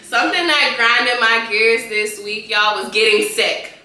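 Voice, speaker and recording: A young woman with a stuffy, congested voice from a cold co-hosts a podcast, chatting in slang and calling her listeners y'all. Her tone is bright, and the very echoey room rings behind her. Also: on the high side, steady tone, a little slow. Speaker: podcast co-host. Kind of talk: casual chat.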